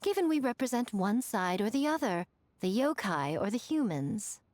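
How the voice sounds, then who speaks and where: Sly, lilting schemer. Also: female voice, on the high side, big pitch swings, darkish tone, dry recording. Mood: persuasive. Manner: coaxing, playful, drawn-out phrasing. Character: sly, teasing, self-assured businesslike woman with silky persuasion. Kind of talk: animated video game dialogue.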